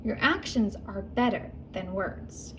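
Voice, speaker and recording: A woman voicing a kindly rabbit who runs a diner and gently gives advice. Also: mid-range voice, takes it slowly, a little hiss or hum.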